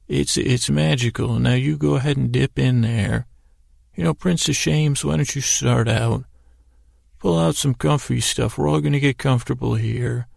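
A man with a heavily creaky, gravelly voice hosts a bedtime podcast in a very dry, close sound. He wanders through whimsical asides, stumbling and doubling back on his words. His pitch sits in an ordinary middle range for a man. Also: fairly even intonation, dry recording. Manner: meandering, halting, stammering with repeated words; whimsical, gently addressing imagined listeners. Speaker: podcast host. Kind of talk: rambling bedtime sleep-podcast monologue.

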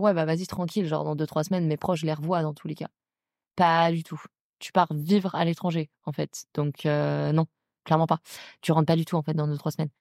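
A woman's voice: deep voice, dark tone, dry recording, clean studio sound. A young adult woman from France with a French accent, hosting a casual solo podcast in informal, slangy speech aimed straight at the listener. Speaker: podcast host. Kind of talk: casual solo chat about personal experience.

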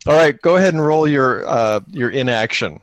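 A man with a lively, swooping voice plays a tabletop game in loose, off-the-cuff talk. He jokes drily as he goes, in a close, dry recording. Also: on the high side, measured pace, dry recording. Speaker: tabletop role-playing game player and podcaster. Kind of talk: casual improvised game chat.

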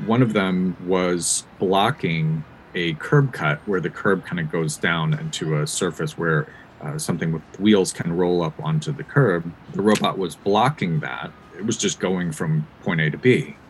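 An American man giving measured, thoughtful explanations as a researcher being interviewed. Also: sits low, slight background noise, rough recording. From United States. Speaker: academic researcher and interview guest.

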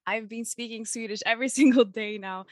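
A woman's voice: on the high side, steady tone, a little fast, a little mellow, dry recording, clean studio sound. A young woman hosting a spiritual podcast, talking in an effusive, heartfelt, chatty way. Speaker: podcast host. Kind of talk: casual podcast interview.

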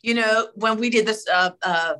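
A middle-aged Swedish woman with a Swedish accent, telling animated stories in a casual interview, laughing often and full of fillers and restarts. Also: fairly high pitch, moderate pace, smooth. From Sweden. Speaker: TV host, interview guest.

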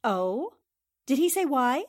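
A probing newspaper reporter in a forties radio play. Her voice is fairly high, and she delivers questions and deductions with incisive confidence. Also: sing-song, slow pace, full character voice. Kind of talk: 1940s radio drama acting.

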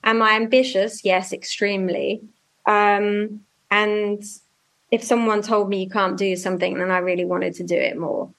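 A woman's voice: medium pitch, normal speed, creaky. A woman in her usual mid-range voice tells her story in a loose, chatty way, restarting sentences as she goes. She speaks into a video call, so it sounds like a remote interview. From Norwich, England. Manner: chatty, self-correcting, animated storytelling. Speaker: guest, music media professional.

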